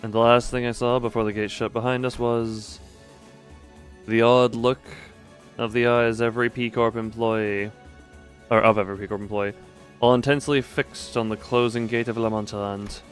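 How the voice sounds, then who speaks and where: A man's voice: lowish voice, little pitch movement, normal speed, soft background music. A man live-streaming a game, reading its dialogue aloud and stumbling now and then as he adds his own commentary. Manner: stumbles and self-corrects while reading aloud.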